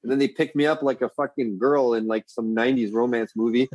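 A man's voice: medium pitch, fast, no echo. A man, a podcast guest, telling rambling, profane stories in casual chat. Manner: profane, rambling, animated storyteller.

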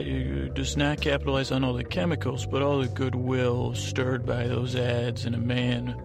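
A man hosting a bedtime podcast in a meandering, halting monologue, repeating words and drifting off on tangents. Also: mid-range voice, vocal fry. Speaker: podcast host. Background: music.

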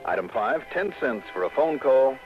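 An American actor playing a shrewd, brisk insurance investigator in a 1960s radio detective drama, speaking crisply and deliberately. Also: male voice, medium pitch, big pitch swings. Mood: wry, confident. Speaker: lead actor and narrator. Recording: dull old radio broadcast, music behind.

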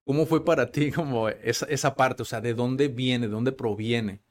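A man hosts a casual interview. He rambles in halting phrases and leans on fillers and tag questions. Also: medium pitch, steady tone. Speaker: podcast host.

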